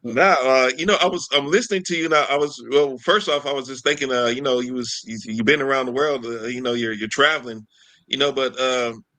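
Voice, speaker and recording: A man co-hosting a podcast interview, asking long, halting questions full of fillers and repeats. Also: medium pitch, sharp tone. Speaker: podcast co-host.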